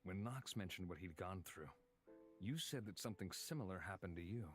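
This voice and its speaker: An actor voicing a guarded but considerate adventurer, measured and a little hesitant, in a dramatic visual novel style. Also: male voice, low-pitched, expressive. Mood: concerned, careful. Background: background music.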